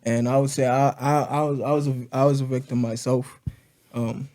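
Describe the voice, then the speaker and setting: A young man in his twenties from Congo, co-hosting a casual podcast and thinking aloud in halting, reflective bits. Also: mid-range voice, level tone, mellow. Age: 20s. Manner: halting, reflective, restarts often. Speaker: podcast co-host. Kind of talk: casual chat.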